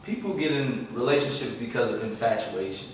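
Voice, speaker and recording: A man from Oakland chatting casually in a group podcast, relaxed and full of fillers like "you know what I'm saying". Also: medium pitch, a little fast, a little hiss or hum. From Oakland, California. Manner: relaxed, conversational, many fillers. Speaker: podcast co-host. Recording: roomy echo from far mic.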